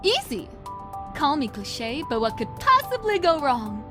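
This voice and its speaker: A woman's voice: high-pitched, big pitch swings, a little slow, music in the background. A woman playing a vain, carefree young woman in an audio drama, with a breezy, teasing, theatrical delivery. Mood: breezy, smug.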